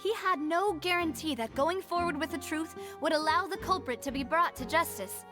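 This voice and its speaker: A woman's voice: very high-pitched, moderate pace, music in the background. An actress playing a resolute young woman who leads a syndicate, speaking articulately in a video game courtroom drama. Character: resolute young woman, syndicate leader arguing for her dead father.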